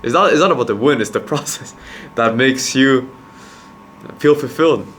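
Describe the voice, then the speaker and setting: A man's voice: medium pitch, fairly bright tone, a little hiss or hum. A young man from Quebec whose first language is Khmer, talking to camera in a casual, reflective monologue, restarting and repeating words as he thinks. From Quebec, Canada. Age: young adult. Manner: halting, many restarts and repeated words, earnest. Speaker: vlogger, entrepreneur.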